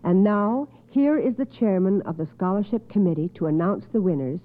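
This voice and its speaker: A woman's voice: mid-range voice, a little fast. A woman hosting an old radio quiz show in a courteous, schoolteacherly manner with precise enunciation, affirming contestants. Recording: muffled phone-like old radio recording.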